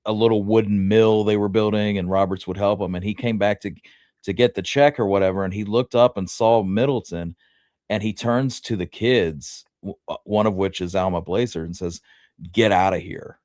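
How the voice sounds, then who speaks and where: A man co-hosting a casual history discussion, thinking aloud in a halting way. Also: on the low side, steady tone, darkish tone, dry recording, studio quality. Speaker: history show co-host.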